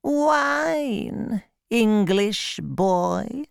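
A woman voicing a sly old female fairy in a storybook, with a croaky, drowsy, drawn-out delivery. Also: medium pitch, lively intonation, dry recording, studio quality, voice pushed up, exaggerated voice. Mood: sleepy, mocking. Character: sleepy, sly old female fairy creature, bitter drinker.

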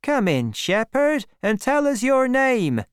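A woman's voice: fairly high pitch, big pitch swings, slow, clear, resonant tone, no room sound, studio quality, pitched above the performer's own voice. A woman voicing hearty, sociable, plain-spoken village farm men in a storybook reading. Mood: welcoming.

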